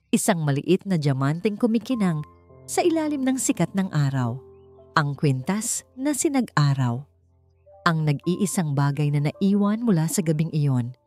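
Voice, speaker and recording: A woman's voice: lowish voice, darkish tone. A woman narrator reading a dramatic scripted romance story.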